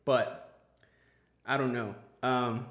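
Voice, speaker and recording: A man with a middle-pitched voice hosts a relaxed podcast conversation. He rambles earnestly, circling back with fillers and restarts. The room around him is noticeably echoey. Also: drawn-out words. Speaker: podcast host. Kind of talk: casual chat.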